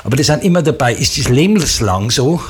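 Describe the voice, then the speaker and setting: A man's voice: medium pitch, expressive, a little fast, slight background noise. A man hosting a casual phone chat, asking probing questions. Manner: chatty, asks probing questions. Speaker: host.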